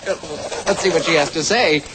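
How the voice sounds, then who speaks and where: An actress voicing a smug, fawning royal courtier with a coaxing manner. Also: female voice, deep voice, fairly quick, very noisy, natural voice.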